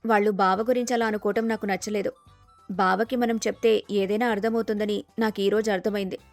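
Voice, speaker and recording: A woman's voice: mid-range voice, fairly even intonation, brisk, no room sound, faint music behind, natural voice. A woman voicing a clever young village daughter-in-law, earnest and respectful but firm, in a story. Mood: calm.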